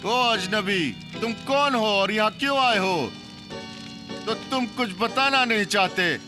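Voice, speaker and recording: A man's voice: high-pitched, expressive, measured pace, over music. A man voicing a cruel, pompous cartoon tyrant who gloats and menaces his captives. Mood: menacing. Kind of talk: animated cartoon dub acting.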